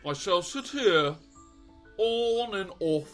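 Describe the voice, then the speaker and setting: A man plays an aloof footman in a storybook drama, with a bright, fairly high voice. He drags out his words very slowly and indifferently, with faint music behind him. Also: animated, full character voice. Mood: idly indifferent. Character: idle, aloof male footman creature. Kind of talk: audiobook character acting.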